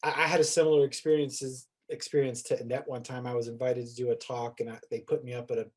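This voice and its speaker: A man's voice: medium pitch, flat delivery, quick pace. A man who teaches, talking casually as a panel guest, informal and thinking aloud. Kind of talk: casual, unscripted panel talk.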